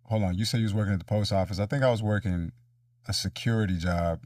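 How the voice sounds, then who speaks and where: A man's voice: fairly low pitch, fast talker, a little mellow, dry recording, clean studio sound, recorded from a distance. A man hosting a casual, reflective conversational interview in informal language. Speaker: interviewer, show host.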